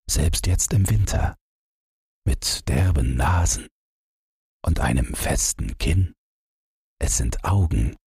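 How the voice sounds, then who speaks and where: A man reading a literary Christmas story as an audiobook narrator, in a measured, dramatic storytelling manner. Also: very deep voice, darkish tone, breathy edge, no room sound, studio quality.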